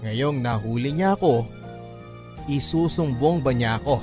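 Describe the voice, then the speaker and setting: A man's voice: medium pitch, unhurried. A man narrates a scripted first-person story in a classic radio drama manner. His voice is mid-range for a male speaker. Music plays lightly behind the narration. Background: music bed.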